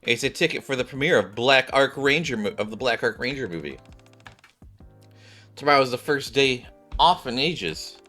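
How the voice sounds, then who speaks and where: A breezy, playful woman scientist from a game acted out by a man, bossy but caring. The voice is bright and lifted above his usual pitch, teasing and emphatic, over background music. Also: animated, moderate pace. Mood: teasing concern.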